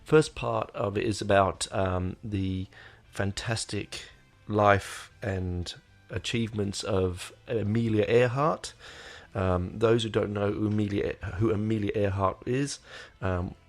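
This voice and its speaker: A man's voice: lowish voice, steady tone, takes it slowly. A man hosting his podcast in casual, rambling talk, full of fillers and restarts, sounding nasal while getting over an illness. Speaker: podcast host. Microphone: desk microphone.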